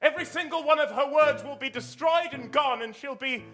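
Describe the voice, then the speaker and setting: A man's voice: very high-pitched, brisk, sharp tone, faint music behind. A man playing an earnest, put-upon young hero in a live comic pantomime. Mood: earnest alarm.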